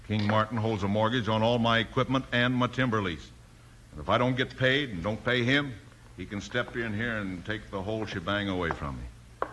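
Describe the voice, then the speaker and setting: Man playing a worried, low-voiced logging boss. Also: moderate pace, fairly bright tone, close to an everyday voice. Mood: exasperated. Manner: earnest, exasperated, folksy. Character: worried, beleaguered frontier logging camp boss. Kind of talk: 1950s radio western acting. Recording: noisy 1950s radio recording.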